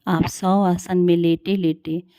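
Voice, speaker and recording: A woman leading a guided meditation in a calm, soothing, unhurried way with long pauses. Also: fairly low pitch, clean tone, no echo, studio quality.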